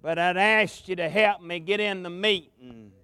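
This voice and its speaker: A man with a Southern US accent preaching a revival sermon in a folksy, drawn-out cadence, with some gravel in his voice, speaking into a pulpit mic in a large tabernacle. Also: high voice, bright, forceful tone, no room sound. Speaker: pastor, guest preacher. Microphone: amplified mic at a pulpit.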